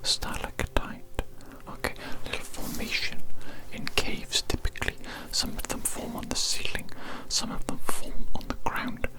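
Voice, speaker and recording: A person whispering softly through an ASMR roleplay as a hearing test examiner, slow and soothing, with repeated gentle praise. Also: low-quality recording.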